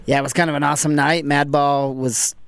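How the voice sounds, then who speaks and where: Guy on a radio show, casual talk, smooth and a bit high, dry sound. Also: level tone, a little fast, fairly bright tone, no echo. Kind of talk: casual chat. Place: radio studio.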